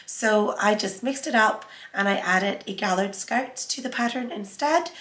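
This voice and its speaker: A woman's voice: medium pitch, a little slow, a bit bright. A woman who has a cold and a sore throat, chatting casually on her sewing vlog. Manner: chatty, conversational, with fillers. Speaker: sewing channel host. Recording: echoey room.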